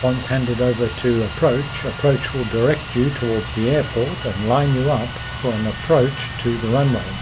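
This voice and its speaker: A man's voice: medium pitch, fairly even intonation, moderate pace, few pauses, heavy background noise. A man narrating an instructional tutorial as the host of a flight simulation channel.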